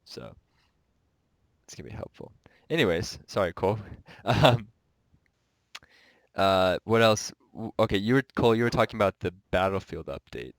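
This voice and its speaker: Casting: congested, nasal young male co-host with a deep voice, dry close sound, rambling delivery. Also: expressive, normal speed, creaky, pauses often, no echo. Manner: rambling, restarts sentences often. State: sick and stuffed up. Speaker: podcast co-host. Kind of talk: casual gaming podcast chat.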